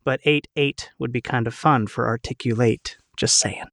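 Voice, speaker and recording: A man with a medium-pitched voice hosts a casual podcast conversation. His delivery is conversational and the recording is dry, with very little room sound. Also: steady tone, moderate pace, gravelly, a bit bright, no echo. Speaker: podcast host. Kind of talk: casual hosted podcast discussion.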